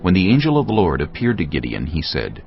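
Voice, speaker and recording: Very deep, gravelly male scripture narrator. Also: wildly swooping pitch, fast talker. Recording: muffled audio with faint music.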